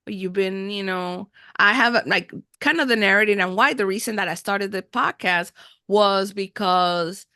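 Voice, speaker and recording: A woman's voice: mid-range voice, measured pace, bright, forceful tone, clear, resonant tone, no room sound, clean studio sound. A woman hosting a casual podcast interview, switching between Spanish and English and repeating words effusively. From United States, Miami. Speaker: podcast host. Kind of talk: casual bilingual interview chat.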